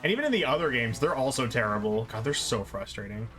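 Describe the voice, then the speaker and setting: A man's voice: medium pitch, animated, quick pace, a crowd in the background. A man streaming a game with casual, explanatory commentary and exasperated asides. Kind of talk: casual live gameplay commentary. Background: game music.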